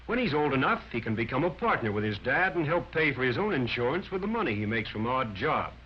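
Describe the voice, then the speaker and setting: A man's voice: mid-range voice, very animated, fast talker, breathy edge. A man delivers scripted 1940s instructional film narration in an emphatic, declarative, instructive manner. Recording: dull band-limited 1940s film soundtrack, music.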